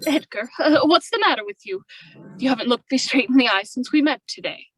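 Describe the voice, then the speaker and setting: A woman's voice: high voice, lively intonation, a little fast, fairly bright tone, low-quality recording. A woman playing a young widow in a radio drama, anxious and hesitant, defensive beneath her composure.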